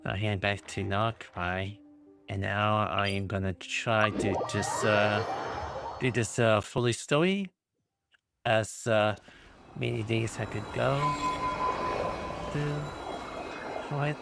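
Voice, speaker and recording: A man giving casual, halting, rambling livestream commentary as a game streamer. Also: on the low side, steady tone, creaky, over loud music.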